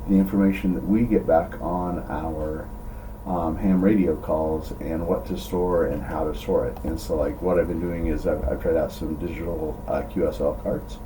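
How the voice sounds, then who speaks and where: A man thinking out loud in a casual, rambling demo, often halting mid-thought. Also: low-pitched, steady tone. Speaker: amateur radio operator presenting own log data. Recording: distant mic, clear background noise.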